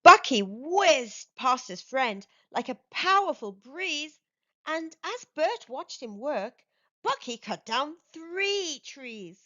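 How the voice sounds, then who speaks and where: Female storytime narrator for young kids: high register, slow and measured, expressive read-aloud, slightly dull recording. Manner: measured, animated storytelling delivery. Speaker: children's storytime channel host and narrator.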